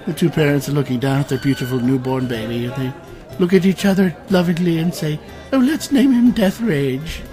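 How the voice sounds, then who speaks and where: A man acting a bookish, awkward fantasy adventurer in a comic audio drama, speaking in a halting, stammering, academic way. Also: a little high, dark tone, lightly acted. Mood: amused. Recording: music under the voice.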